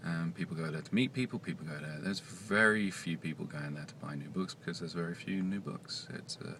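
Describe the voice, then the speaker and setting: Soft-spoken male comic writer, very deep and hesitant, noisy recording. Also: fairly quick. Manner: quiet, soft-spoken, hesitant with many fillers. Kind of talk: casual vlog monologue.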